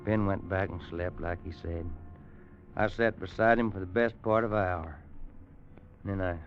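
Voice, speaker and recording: An older cowboy narrator in a radio western, loyal and homespun. His low voice has a folksy Western drawl and takes its time with pauses. The sound is muffled, like old-time radio. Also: a little hiss or hum. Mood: tense, grave. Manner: slow, homespun storytelling with dramatic pauses.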